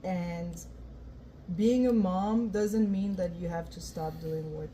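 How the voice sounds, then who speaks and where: Mellow-voiced woman. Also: on the low side, slow. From France. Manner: relaxed storytelling with many "you know" and "um". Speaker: guest dancer. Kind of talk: casual interview talk. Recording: faint event noise.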